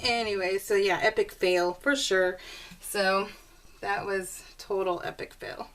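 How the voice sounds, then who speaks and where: A woman's voice: medium pitch, steady tone, measured pace, fairly bright tone. Bubbly, chatty woman vlogger. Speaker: crafting vlogger. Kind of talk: casual rambling chat to viewers.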